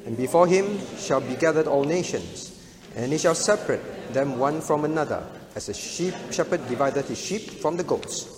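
Male preacher, fairly high-pitched, didactic, emphatic short phrases, rhetorical questions, long hall reverb. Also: lively intonation, moderate pace, a crowd in the background. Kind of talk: expository sermon delivered in short phrases for an interpreter. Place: large reverberant room, preaching to a congregation.

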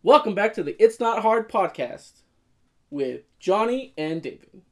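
High-voiced, foul-mouthed young male co-host. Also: very animated, measured pace. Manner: blunt, swears a lot, teasing. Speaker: podcast co-host. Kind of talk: casual banter.

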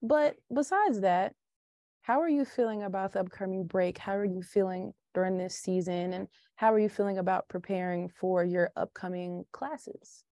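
A woman's voice: medium pitch, normal speed, warm tone, dry recording, studio quality. A young woman hosting a podcast, talking in a chatty, informal conversational interview style. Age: young adult. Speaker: podcast host.

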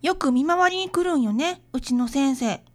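A woman's voice: high-pitched, animated, normal speed. A woman playing a young schoolgirl classmate with a Kansai accent in a radio drama. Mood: matter-of-fact.

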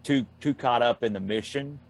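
A man guesting on a podcast in casual chat, thoughtful and halting, with many ums. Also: mid-range voice, vocal fry.

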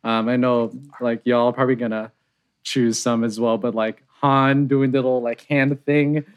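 A man in easygoing conversation, voice in a middle pitch. He talks loosely, with frequent fillers and light laughter. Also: normal speed, smooth, highs cut off. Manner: relaxed, laughs often, many fillers. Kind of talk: casual reaction chat.

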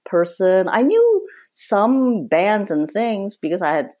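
An older woman who grew up in Hong Kong, a writer speaking as a guest in a relaxed interview, reflective and quick to laugh. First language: Cantonese and English both spoken from childhood. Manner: reflective, laughs easily, pitch jumps when animated.

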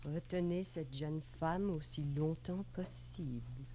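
An actress playing an aloof, curt French doctor's wife with a French accent in a 1940s radio drama. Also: female voice, deep voice, a little slow, dark tone. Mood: murmured reading. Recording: dull, noisy old radio recording.

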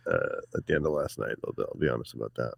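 Male co-host, very deep voice, dry close mic, easygoing sports chatter. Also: fast, creaky, slightly rough, no echo. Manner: easygoing, chatty, frequent fillers. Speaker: podcast co-host. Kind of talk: casual sports chat.

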